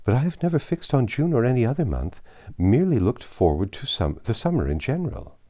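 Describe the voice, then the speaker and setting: A man voicing a reserved, polite young woman in a classic novel reading, formal and quietly firm. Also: medium pitch, very animated, fairly quick, pitched above the performer's own voice.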